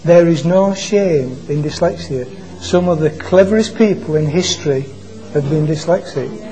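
A man preaching an informal sermon into a microphone at a church meeting, in a quiet voice that stalls and restarts on words. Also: a little high, poor audio. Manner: halting, repeats and restarts words. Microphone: microphone being adjusted.

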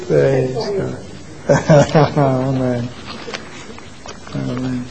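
Soft-spoken preacher. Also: male voice, medium pitch, steady tone, gravelly, background noise. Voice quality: quiet voice. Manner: halting, repeats and restarts words. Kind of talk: informal church sermon. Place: church meeting. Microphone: microphone being adjusted.

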